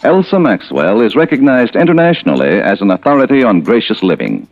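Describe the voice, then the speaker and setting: A man with an American accent delivering a polished, emphatic sponsor pitch in 1940s radio style. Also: very animated, brisk, no room sound. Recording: muffled, dry old radio recording.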